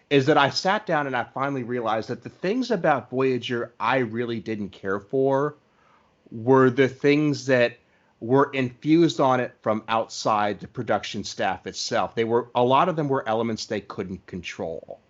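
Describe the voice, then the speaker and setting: A man hosting a podcast, chatting casually in an earnest, reflective way. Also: mid-range voice. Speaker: podcast host. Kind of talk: casual conversational interview. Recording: dull, lo-fi voice recording.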